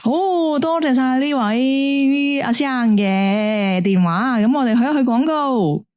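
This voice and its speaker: A woman acting a radio call-taker in an audio drama, with a fairly high voice. She is hesitant and polite, always trying to placate. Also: takes it slowly, darkish tone, clear, resonant tone. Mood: flustered, placating.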